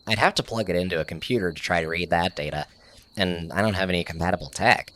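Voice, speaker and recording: A man's voice: low voice, fast talker, a bit bright, a little hiss or hum. A man playing an eager, nerdy tech tinkerer in an audio comedy, explaining things in a casual, slightly awkward way. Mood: earnest explaining. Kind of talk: audio sitcom acting.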